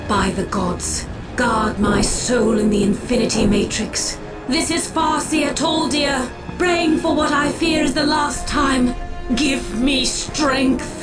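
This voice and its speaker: High, solemn elven seer-commander. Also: female voice. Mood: grave prayer. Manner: grave, stately, rallying. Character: solemn, proud female alien elf seer-commander. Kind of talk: video game cutscene voice acting.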